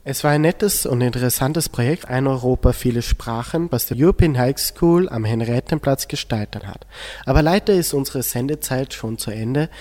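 A man's voice: medium pitch, moderate pace, mellow. A teenage boy presenting a school radio show in a scripted style. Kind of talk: scripted sign-off.

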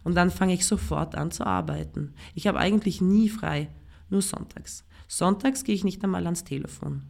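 A woman from Sweden with a Swedish accent, a writer and performer reading her own comic prose aloud with a wry, self-mocking, deadpan delivery. Swedish is her first language. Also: on the low side, normal speed, vocal fry.

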